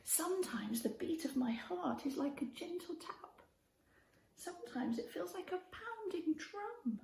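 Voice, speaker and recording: High-pitched, lively woman reading a children's story. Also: measured pace, gravelly. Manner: expressive, addresses child listeners with questions and prompts. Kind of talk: children's story read-aloud. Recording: roomy echo with faint background noise.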